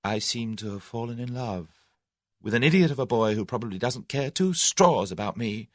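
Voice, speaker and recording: A man's voice: mid-range voice, expressive, normal speed, no echo, studio quality, natural voice. A man voicing a plucky, proud young English woman in an audiobook, earnest and exclamatory. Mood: rueful. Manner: earnest, exclamatory, self-scolding. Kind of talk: audiobook character voice.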